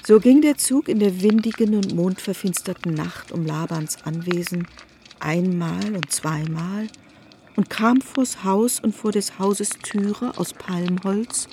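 A woman narrating a literary radio play in an even, solemn storytelling manner. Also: mid-range voice, unhurried, a little hiss or hum.

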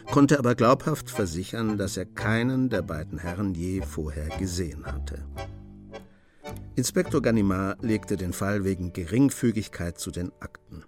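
A man serves as narrator of a radio drama. His voice is on the low side, and his delivery is measured, scripted storytelling. Soft music runs faintly underneath.

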